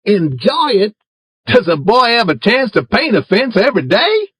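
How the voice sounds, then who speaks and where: A man's voice: high-pitched, huge pitch swings, normal speed, a bit bright, husky, no echo, voice pushed up, exaggerated voice. A crafty young boy talks in a raised, sing-song voice full of wheedling charm, as a man reading the story puts him on. The sound is close and dry, with no room around it. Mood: sly. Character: clever, coaxing young boy Tom.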